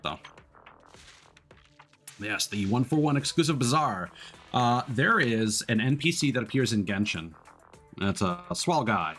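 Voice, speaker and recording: A man's voice: mid-range voice, fairly bright tone. A man doing casual video game livestream commentary, talking through what is on screen as he plays. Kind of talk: casual game stream commentary, reading dialogue aloud. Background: background music.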